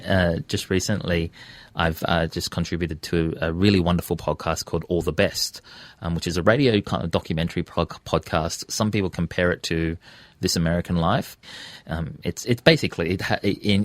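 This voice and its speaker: A man with a low-pitched voice and an Australian accent speaks casually as a guest in an interview. He circles around his points, hesitating and rephrasing as he goes. The sound is close and dry. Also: no echo. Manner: hesitant, self-correcting, discursive. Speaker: interview guest, radio presenter and podcaster.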